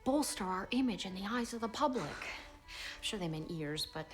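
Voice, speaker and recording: A woman's voice: mid-range voice, animated, normal speed, music in the background, close to an everyday voice. An actress playing an earnest, prim young 1920s policewoman who speaks formally. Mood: bashful. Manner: earnest, flustered, formal wording.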